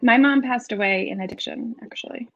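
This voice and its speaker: A woman's voice: a little high, brisk, a bit bright, highs cut off. A middle-aged woman with a New England accent speaking frankly and emphatically as a guest in a candid conversational interview. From New England, United States. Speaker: interview guest, author in recovery.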